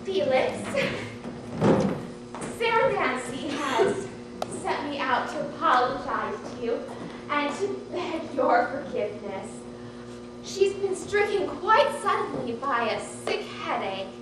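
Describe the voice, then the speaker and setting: Fussy, chatty hostess of middle years, woman performer, high-pitched; stage comedy, distant and heavily echoing. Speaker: student stage actress. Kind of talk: live one-act stage comedy acting. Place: theatre stage, recorded from afar. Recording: very echoey noisy hall, distant mic.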